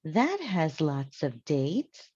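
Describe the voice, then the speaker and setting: An earnest housekeeper from a children's storybook, acted out by a woman narrator, plain-spoken and eager to help. The voice is mellow and unhurried, with lively swings in pitch, recorded dry and slightly dull. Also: slightly rough, dry recording, full character voice. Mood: busy, musing.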